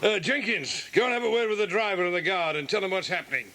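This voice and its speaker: A man's voice: sits high, animated, fast talker, pushed and bright, exaggerated voice. A man playing a hearty, authoritative police inspector in a children's audio drama. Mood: hearty, approving. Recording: hissy old cassette recording.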